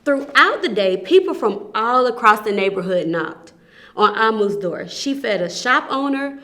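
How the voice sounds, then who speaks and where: A woman reading a children's picture book aloud as a storyteller, emphasising phrases expressively and deliberately. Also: on the high side, lively intonation, bright, forceful tone. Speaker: volunteer children's story reader.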